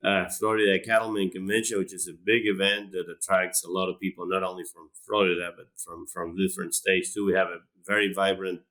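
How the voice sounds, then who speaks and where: Deep-voiced man from Argentina. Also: flat delivery, a little fast, few pauses, pushed and bright. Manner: hesitant, many fillers and restarts. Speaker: animal science professor, guest. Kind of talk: casual interview talk.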